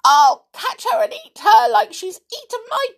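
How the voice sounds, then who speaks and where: A woman voicing a small young bear cub with a squeaky, wailing, indignant voice for a children's storybook. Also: big pitch swings, normal speed. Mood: excited, outraged. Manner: wailing, high-pitched outcry.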